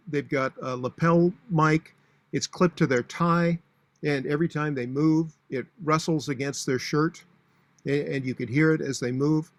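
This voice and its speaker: A man in his sixties with a booming voice, giving practical, matter-of-fact advice on public speaking with frequent fillers. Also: no echo. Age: 60s. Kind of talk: instructional talk.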